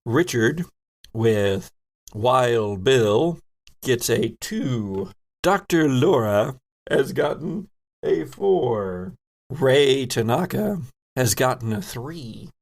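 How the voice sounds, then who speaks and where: A man working as game master, narrating a tabletop horror game in a theatrical, drawn-out storytelling manner with a gravelly voice. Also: medium pitch, animated.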